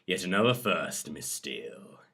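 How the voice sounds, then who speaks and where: Man acting a hushed, very low commanding lover. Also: very animated, recorded from a distance, heavily acted. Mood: complacent. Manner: hushed, breathy, slow and deliberate.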